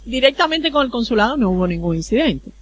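A woman's voice: on the high side, big pitch swings, fast, no room sound. A woman from the Dominican Republic, with a Dominican accent, speaking as a consular official in an interview and explaining procedures earnestly with everyday examples. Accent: Dominican Spanish. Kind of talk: radio interview guest, explaining.

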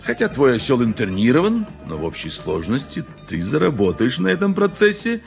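An actor playing a pompous, wily populist lawyer in a radio play, fervent and rhetorical, with dramatic pauses. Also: male voice, medium pitch, wildly swooping pitch, creaky, soft background music. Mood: brisk encouragement. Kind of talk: 1980s radio play monologue.

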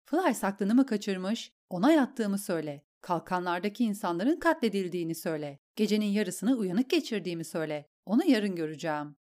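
A woman's voice: mid-range voice, lively intonation, brisk, hardly any pauses, warm tone, lightly acted. Woman reading a cold, scornful queen. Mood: commanding. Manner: imperious, cutting.

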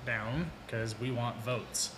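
A man co-hosting a casual sports and beer podcast, reading out beer news in a relaxed, rambling way with many fillers. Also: medium pitch, takes it slowly. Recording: echoey room with background noise.

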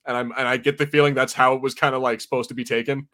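A man with a mid-pitched voice co-hosts a podcast in casual conversation. His delivery is informal and jokey, with stop-and-start phrasing. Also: flat delivery, rapid-fire, fairly bright tone. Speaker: podcast host reviewing TV. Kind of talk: casual chat.

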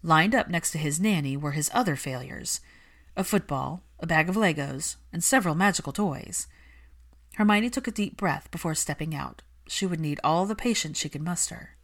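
A woman's voice: low-pitched, animated, moderate pace, a bit bright. A woman reading scripted fiction aloud as a story narrator.

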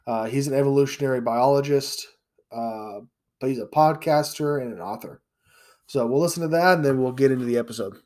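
A man's voice: medium pitch, fairly quick, a little mellow. Congested male podcast host, blunt and opinionated monologue. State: congested, a little sick.

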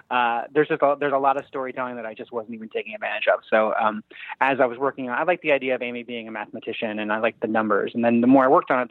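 A man calling in as a guest writer, talking casually in a halting, self-effacing, rambling way. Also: medium pitch, dry recording. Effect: phone line. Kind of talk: casual phone interview. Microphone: telephone call-in. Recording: thin, dry phone-line sound.

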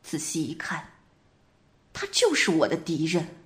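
A woman's voice: sits low, wildly swooping pitch, heavily acted. A woman in an amateur radio drama plays a haunted dreamer, telling her story in a grave, detached and dramatic way. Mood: hushed, eerie. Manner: slow, hushed, dramatic delivery.

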